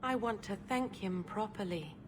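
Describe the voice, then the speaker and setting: An actress playing a dreamy, naive, lovestruck young maiden in a fantasy game. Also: female voice, medium pitch, normal speed, close to an everyday voice. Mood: dreamy, adoring. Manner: earnest, dreamy storytelling. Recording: faint background noise.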